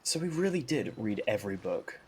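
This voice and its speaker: A man's voice: fairly low pitch, sing-song, fairly quick, background noise. A young man casually commentating on a game stream in a slangy, rambling, jokey way. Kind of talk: casual live game stream commentary, reading aloud and reacting. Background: game music.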